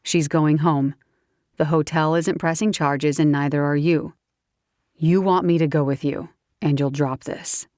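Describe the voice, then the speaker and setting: Woman reading a gruff guy, firm and clipped, low and slow. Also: fairly even intonation, darkish tone, no echo, studio quality. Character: stern, protective grown man, gruff and calm.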